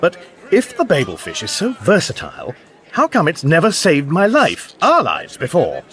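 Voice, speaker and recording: Flustered Englishman, wildly swinging pitch. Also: wildly swooping pitch, moderate pace, a bit bright. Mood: puzzled. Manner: flustered, querulous. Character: bewildered, put-upon ordinary Englishman adrift in space. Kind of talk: radio science fiction comedy acting.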